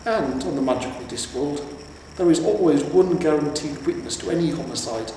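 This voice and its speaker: A man's voice: a little high, animated, normal speed, dark tone. Echoey, distant man reading a story. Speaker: amateur audiobook reader. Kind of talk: scripted story narration. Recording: roomy, echoing, distant mic with noise.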